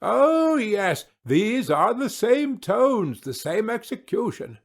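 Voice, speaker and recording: A man's voice: high voice, very animated, takes it slowly, voice pushed up, exaggerated voice. A man voicing a sentimental old knight of a storybook court, exclaiming nostalgically. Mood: nostalgic.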